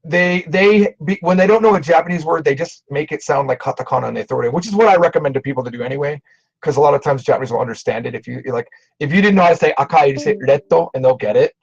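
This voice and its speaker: A middle-aged man and language teacher chatting casually on a video call, animated and self-deprecating. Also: fairly high pitch, very fast, vocal fry, breathy edge, dull audio. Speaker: Japanese teacher and show host. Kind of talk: casual livestream conversation.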